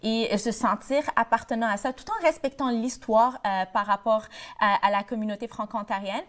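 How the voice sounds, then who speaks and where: A woman's voice: a little high, moderate pace, fairly bright tone. A young woman from Morocco, a board treasurer, answering questions in a TV interview, with many fillers and restarts. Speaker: guest, board treasurer of a community association. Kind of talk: TV interview, casual conversation.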